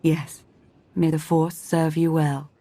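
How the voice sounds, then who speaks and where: An actress voicing a calm, dignified mystic ally in a video game, measured and formal. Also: female voice, sits low. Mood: serene.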